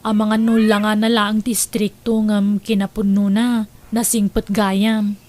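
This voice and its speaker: A woman's voice: medium pitch, flat delivery, measured pace, darkish tone, a little hiss or hum, lightly acted. A woman playing a kind, sympathetic young friend in an audio drama.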